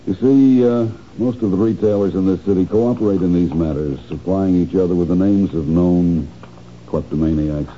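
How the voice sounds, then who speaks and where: A man playing a calm, probing store manager. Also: low voice, lightly acted. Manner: measured, politely probing, hesitant fillers. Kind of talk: 1970s radio drama acting. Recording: dull lo-fi 1970s radio broadcast, faint hiss.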